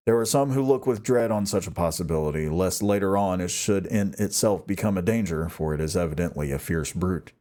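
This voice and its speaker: Man, audiobook reader, classic fiction narration, lowish pitch, soft dark timbre. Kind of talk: scripted narration of a classic novel.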